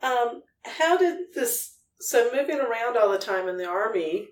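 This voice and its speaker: A woman's voice: fairly high pitch, animated, a little slow. A woman hosting a conversational podcast interview, asking questions. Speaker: guest host, interviewer. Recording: roomy echo, far from microphone.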